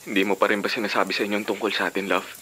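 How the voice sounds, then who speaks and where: A man's voice: on the low side, level tone, very fast, bright, forceful tone, slight background noise. A man playing an earnest, insistent secret lover in a Filipino radio drama. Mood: earnest frustration. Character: earnest, insistent young male secret lover, self-made.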